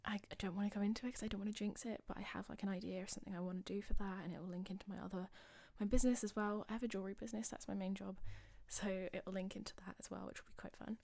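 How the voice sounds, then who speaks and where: A young woman talks over her game footage in a loose, rambling way, cutting in with little self-deprecating asides. Her voice is slightly husky, as if she is losing it, and it sits at a middling pitch. The recording is close and dry, with no room sound. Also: fairly even intonation, very fast, few pauses, a little mellow, no room sound. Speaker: gaming channel host. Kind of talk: casual voice-over commentary.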